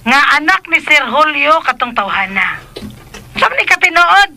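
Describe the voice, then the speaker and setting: An excitable, protective mother in a radio drama, scolding bluntly in a Filipino Cebuano accent. Her voice sits high and cuts through with a very bright, forceful edge. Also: unhurried, vocal fry, exaggerated voice. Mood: scolding, insistent.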